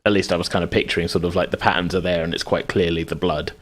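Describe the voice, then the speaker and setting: Low-voiced man running a tabletop game. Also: flat delivery, quick pace, a bit bright. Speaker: game master. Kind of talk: improvised, conversational narration of a tabletop game.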